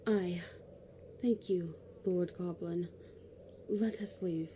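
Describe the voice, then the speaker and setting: A woman's voice: lowish voice, fairly even intonation, slow pace, very noisy. A woman playing a regal, determined queen in a fantasy audio drama, speaking formally. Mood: regal, impatient.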